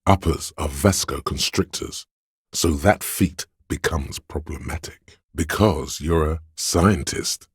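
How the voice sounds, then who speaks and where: Very deep, husky seductive tempter. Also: male voice, slow pace, no echo, studio quality, full character voice. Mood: sly, knowing. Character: seductive, hedonistic inner voice of addiction and lust. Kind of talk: video game character narration.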